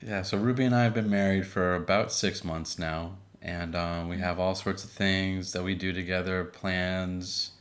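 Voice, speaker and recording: A man in his thirties co-hosting a podcast with his wife, chatting casually with a relaxed, filler-laden manner. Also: sits low, moderate pace, few pauses. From Boston area. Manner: relaxed, many fillers and restarts. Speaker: podcast co-host. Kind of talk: casual chat.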